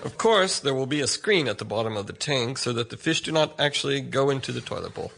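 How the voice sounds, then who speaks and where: An American man pitching an idea as a comedy show contestant, with a deadpan delivery. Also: fast talker, creaky, a little hiss or hum. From United States.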